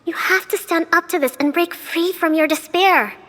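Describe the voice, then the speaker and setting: A self-assured young general in a game, her voice very high yet firm and earnest as she urges others on. Soft game music plays under her lines. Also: normal speed, big-room sound. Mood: urging. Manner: earnest, persuasive speeches. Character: confident young woman general, rousing and bold. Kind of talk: video game cutscene dialogue.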